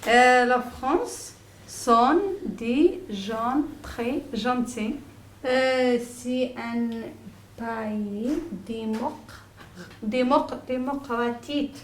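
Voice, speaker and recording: Woman, Moroccan accent, halting, careful, echoey room, faint noise. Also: fairly high pitch. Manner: halting, careful, stumbles on long words. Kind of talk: short halting self-introduction.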